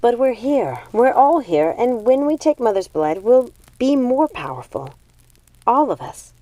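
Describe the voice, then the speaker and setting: A woman's voice: on the high side, measured pace, dry recording, voice pushed up, rough recording. A woman voicing an eager, bookish young witch in a storybook, with an earnest, questioning manner. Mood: excited. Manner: excitable, questioning.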